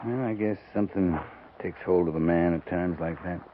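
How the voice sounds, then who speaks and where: An actor playing a grave, commanding cavalry captain in a 1950s radio drama. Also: male voice, deep voice, moderate pace, lightly acted. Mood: somber, reflective. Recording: lo-fi 1950s radio broadcast, noisy.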